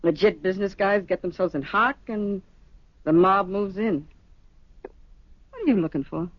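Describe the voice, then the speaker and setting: An actress playing a breezy, worldly young mistress in a radio drama, speaking in casual, matter-of-fact slang. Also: female voice, medium pitch, normal speed, dry recording, close to an everyday voice. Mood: frank, casual. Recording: dull vintage radio recording.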